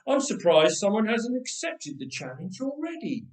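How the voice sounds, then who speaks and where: A man's voice: high-pitched, huge pitch swings, moderate pace, recorded from a distance, pitched above the performer's own voice. A man voicing an earnest, brave young shepherd boy in a storybook, plain-spoken and determined. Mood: curious. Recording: roomy, echoing room sound.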